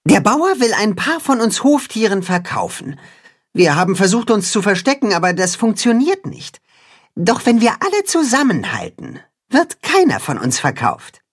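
A storyteller voicing a bossy, clever cat-hen leader who gives brisk orders in a raised, breathy voice. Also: mid-range voice, wildly swooping pitch, pitched above the performer's own voice, full character voice. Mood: urgent. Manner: brisk, commanding.

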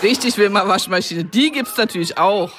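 A woman's voice: medium pitch, normal speed, a bit bright, slight background noise, natural voice. A woman playing Helga, a caring kindergarten teacher in a children's radio comedy, patient and explanatory. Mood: agreeing.

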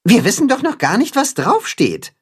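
Man voicing a high-pitched, plucky young chicken. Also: huge pitch swings, moderate pace, husky, exaggerated voice. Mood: urgent, decisive.

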